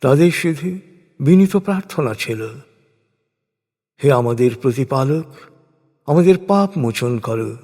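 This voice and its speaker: A man gives a solemn, scripted reading of translated scripture in measured, declamatory phrases. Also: mid-range voice, lively intonation, dark tone. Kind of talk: solemn scripted religious recitation of translated verses. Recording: long hall-like reverb.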